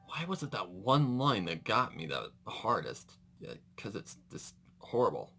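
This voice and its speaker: A man's voice: fairly low pitch, big pitch swings, measured pace, bright, forceful tone, faint music behind. A man hosting a reaction video with casual, rambling, exclamatory commentary.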